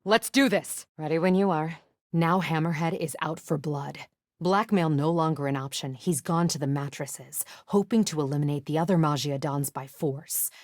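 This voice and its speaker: Terse, low-voiced police captain played by a woman. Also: moderate pace, no room sound, lightly acted. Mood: stern, controlled. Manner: terse, authoritative, dry. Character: tough, no-nonsense female police captain. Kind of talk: video game voice acting.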